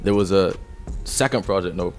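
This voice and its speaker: A man's voice: sits low, moderate pace, a little mellow, faint music behind. A young man, a rapper talking casually and reflectively as a podcast guest. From Barbados. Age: 20s. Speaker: rapper and songwriter interviewed as guest.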